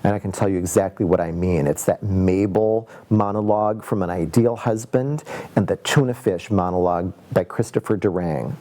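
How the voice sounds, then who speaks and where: A man's voice: low-pitched, moderate pace, dark tone. A man in a documentary interview, speaking as a casting professional, emphatic and exasperated, often restarting his sentences.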